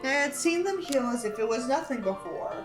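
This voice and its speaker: High female voice, very expressive swings, read-aloud with casual commentary, irreverent, exasperated, sweary. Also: brisk, music in the background. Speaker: YouTuber reading a book aloud with commentary.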